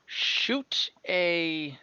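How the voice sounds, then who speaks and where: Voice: mid-range voice, expressive, extremely slow, bright, forceful tone, clean tone. A game master running an online tabletop game, improvising narration and often thinking aloud with hesitation. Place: room, online voice call. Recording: muffled, echoey room.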